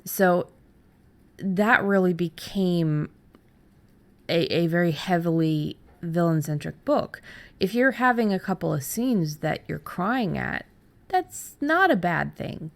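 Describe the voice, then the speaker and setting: A woman hosting a conversational podcast about writing, halting a little and repeating words as she forms her thoughts. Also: fairly low pitch, animated. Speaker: podcast host and writer.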